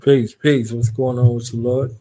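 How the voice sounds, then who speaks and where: A man hosting a radio talk show, introducing his guest in an energetic, repetitive way. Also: medium pitch, moderate pace, low-quality recording. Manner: hype-style, repeats "you understand what I'm saying". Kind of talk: casual interview introduction.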